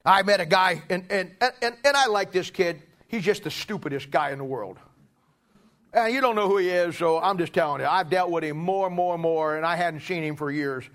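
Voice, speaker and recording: A middle-aged man delivers a sermon in a notably high-pitched voice. He preaches in a folksy, emphatic manner, working through anecdotes and repeating key phrases to drive them home. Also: fairly quick, fairly bright tone.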